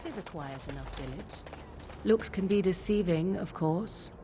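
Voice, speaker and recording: An actress playing a wry, suspicious companion in a fantasy game, arch, formal and aloof. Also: female voice, on the low side, expressive, moderate pace, background noise, lightly acted. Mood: cool suspicion. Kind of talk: fantasy video game dialogue.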